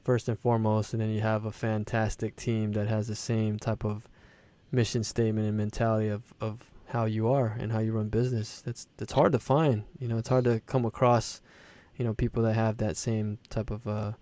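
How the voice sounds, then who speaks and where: A man's voice: on the low side, level tone, moderate pace, dark tone. A man hosting a podcast in casual interview chat, affirming his guest with enthusiasm. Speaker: podcast host.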